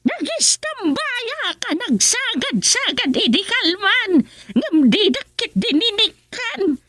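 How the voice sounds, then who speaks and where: Shrill, cranky old village woman. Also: big pitch swings, pushed and bright, no room sound, studio quality, full character voice. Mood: accusing. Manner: scolding, fussy, fast. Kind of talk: rural comedy radio drama acting.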